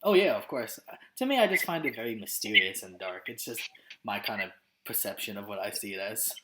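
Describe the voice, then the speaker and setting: A man's voice: on the low side, lively intonation, brisk, a crowd in the background, low-quality recording. A man from Romania chatting casually as interviewer, stammering and hesitant with lots of fillers. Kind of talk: casual chat.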